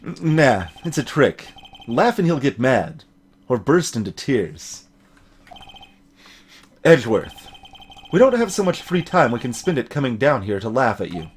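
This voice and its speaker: A man's voice: medium pitch, sing-song, measured pace, pauses often, husky, voice pushed down. A man voicing an earnest young male defense attorney in a video game, plain-spoken and easily flustered. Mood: wary.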